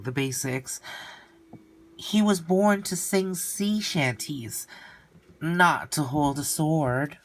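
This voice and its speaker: A man narrates a children's storybook at a slow, unhurried pace. His reading is expressive and theatrical, stretching out words for emphasis. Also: a little high, slight background noise, low-quality recording.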